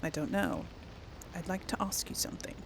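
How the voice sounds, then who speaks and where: A woman's voice: on the low side, normal speed, creaky, a little hiss or hum, low-quality recording. A woman doing casual let's play commentary, thinking aloud in an informal, hesitant way. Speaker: gamer, let's play host.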